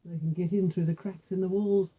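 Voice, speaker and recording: Soft, mellow woman telling a folk tale. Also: lowish voice, fairly even intonation, brisk, slightly rough, dull audio. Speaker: storyteller with puppet figures. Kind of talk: oral folk tale storytelling, informal.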